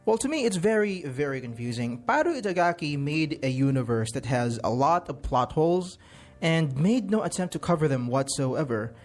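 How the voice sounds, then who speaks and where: A man's voice: a little high, lively intonation, normal speed, faint music behind. A man speaking as a guest commentator, giving his opinions with emphatic stress on key words.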